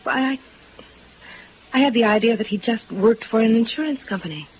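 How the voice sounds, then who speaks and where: An American actress playing a cool, guarded, evasive widow in a melodramatic 1950s radio noir drama. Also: female voice, on the high side, brisk, lightly acted. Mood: pained, evasive. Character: cool, guarded, alluring widow hiding a secret. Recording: muffled, hissy vintage radio recording.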